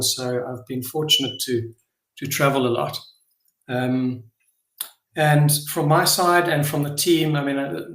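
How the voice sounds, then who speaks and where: A middle-aged man with a South African accent giving an informal, unscripted address as a company founder, hesitant and earnest, often pausing and repeating words. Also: mid-range voice, fairly bright tone. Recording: echoey room sound.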